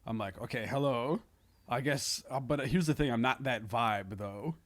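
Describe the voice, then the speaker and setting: A man talking casually as a comedian guest on a podcast, halting and self-deprecating. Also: mid-range voice.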